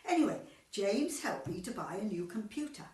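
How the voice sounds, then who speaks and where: A woman's voice: mid-range voice, sing-song, normal speed, a little mellow, far from the mic, close to an everyday voice. A woman playing a sweet, scatty elderly widow in an amateur radio comedy, with a fussy, rambling manner. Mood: flustered, earnest. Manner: fussy, flustered, innocently rambling. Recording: echoey room, off the mic.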